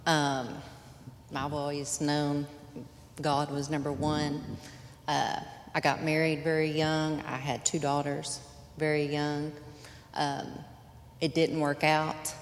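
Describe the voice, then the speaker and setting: A woman's voice: sits low, flat delivery, slow, long pauses, slight background noise. A woman from Texas sharing her testimony on a church panel, hesitant. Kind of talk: personal testimony. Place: church sanctuary. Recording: echoey hall with faint ambience.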